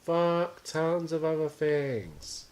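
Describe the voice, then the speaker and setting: A man's voice: fairly high pitch, big pitch swings, drawn-out words, a little mellow, slight background noise. A man in his twenties co-hosting a podcast, chatting casually, rambling and swearing offhandedly. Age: 20s. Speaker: podcast co-host. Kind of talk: casual chat.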